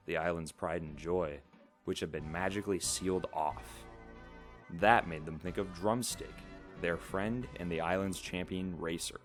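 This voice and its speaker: A man narrating a story in a dramatic storybook style. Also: low-pitched.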